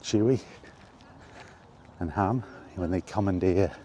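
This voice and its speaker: A middle-aged man with a low, mellow voice rambles casually to his viewers. He takes his time, halting and thinking aloud in short phrases, with faint outdoor noise behind him. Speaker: video creator and host. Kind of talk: casual reminiscing monologue about retro games. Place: outdoors while walking.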